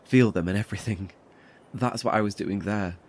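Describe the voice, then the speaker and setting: An actor playing a weary, anxious survivor in a horror audio drama, speaking haltingly with sighs and nervous chuckles. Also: male voice, fairly low pitch, darkish tone, natural voice. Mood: subdued, confessional. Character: weary, anxious male survivor, wry and haunted.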